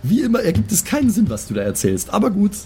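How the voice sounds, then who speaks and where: A man playing a squeamish, skeptical adventurer in an improvised tabletop game, stammering and whining in a husky voice. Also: high voice, wildly swooping pitch, quick pace, a little mellow, soft background music, exaggerated voice. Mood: whiny, reluctant. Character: squeamish, whiny, skeptical adventurer who casts fire spells. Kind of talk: improvised tabletop role-play.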